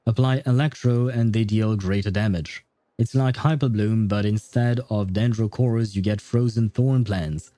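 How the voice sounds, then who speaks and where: A man's voice: fairly low pitch, fairly even intonation, normal speed, dark tone, no room sound. A man rambling casually on a game livestream, thinking aloud as he answers his viewers.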